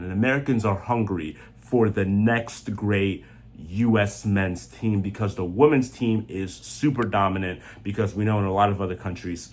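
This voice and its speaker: A man with a fairly low, husky voice talks through soccer opinions off the cuff. He is blunt and emphatic, often repeating a phrase to drive it home. The delivery is that of an opinionated sports commentator speaking freely.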